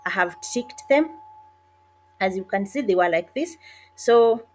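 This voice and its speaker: A woman narrating an online job application walkthrough in a casual, hesitant way, with frequent pauses and self-corrections. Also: medium pitch, lively intonation, slight background noise. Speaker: online job tutorial creator. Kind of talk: casual screen-recorded walkthrough.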